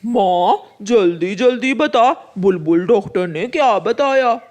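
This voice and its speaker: A woman's voice: mid-range voice, animated, slow, darkish tone. A woman voicing a cruel, bullying crow husband in a cartoon story, scolding and barking orders. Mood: demanding. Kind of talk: Hindi cartoon story acting.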